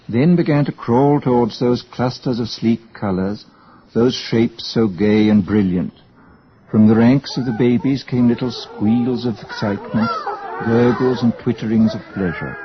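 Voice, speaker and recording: A man's voice: mid-range voice, slight background noise. A man delivering scripted narration as the narrator of a radio drama. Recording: dull, lo-fi old radio recording.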